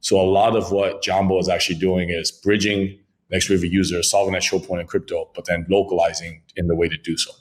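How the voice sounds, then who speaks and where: Deep-voiced man pitching his crypto venture. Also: level tone. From Congo. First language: French. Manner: fluent, jargon-heavy, fast talk with many "like" and "right". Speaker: crypto company founder, guest. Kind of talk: podcast interview, business pitch.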